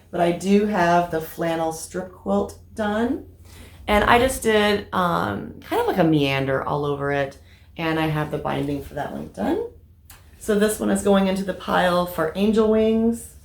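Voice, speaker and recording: A woman with a slightly raspy voice from allergies, chatting casually about her crafting progress with lots of fillers. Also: on the low side. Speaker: crafting vlogger. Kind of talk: casual show-and-tell vlog. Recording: echoey room.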